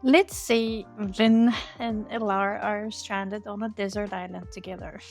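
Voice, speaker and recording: A woman's voice: medium pitch, fairly even intonation, unhurried, a little mellow, soft background music. A woman interviewer putting a playful question to guests.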